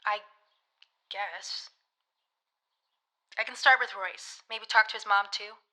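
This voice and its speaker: A woman's voice: mid-range voice, fairly even intonation, takes it slowly, bright, forceful tone. A young woman playing a skeptical, determined teenage student reporter in a naturalistic audio drama, with a practical, dry manner.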